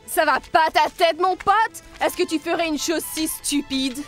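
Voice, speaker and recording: A woman's voice: high-pitched, measured pace, fairly bright tone, faint music behind. A woman voicing a scheming, smug villainess with a haughty, mocking delivery in a French children's cartoon.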